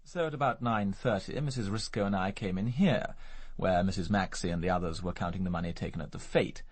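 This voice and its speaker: Deep, sardonic, quick-spoken gentleman. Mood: matter-of-fact. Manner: dry, ironic, formal. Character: suave, sardonic gentleman suspect, coolly evasive. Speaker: actor in a radio detective drama. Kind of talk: radio drama dialogue.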